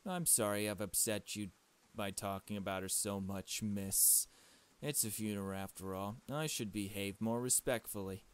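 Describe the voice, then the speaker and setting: A man's voice: fairly low pitch, moderate pace, smooth, lightly acted. A man voicing a kind, earnest, mild-mannered girl in a visual novel, hesitant and reassuring. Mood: apologetic.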